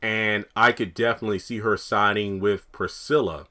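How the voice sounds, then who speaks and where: A man with a raspy, just-woken voice rambling casually through his TV commentary and thinking aloud. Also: on the low side, fairly even intonation, moderate pace, bright, forceful tone. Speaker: TV commentary YouTuber.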